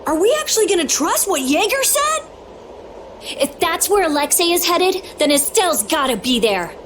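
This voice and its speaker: A woman voicing an excitable, outspoken, impatient young adventurer in a video game, with emphatic, indignant delivery. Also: very high voice, normal speed, noisy background. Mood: indignant, questioning. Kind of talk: dramatic video game voice acting.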